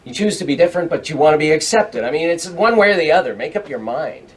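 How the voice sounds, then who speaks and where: A man's voice: fairly high pitch, animated, quick pace, lightly acted. An actor playing an angry, foul-mouthed, defensive man who argues in exasperation, in a naturalistic drama. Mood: contemptuous, irritated. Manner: swearing, combative, exasperated. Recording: distant microphone.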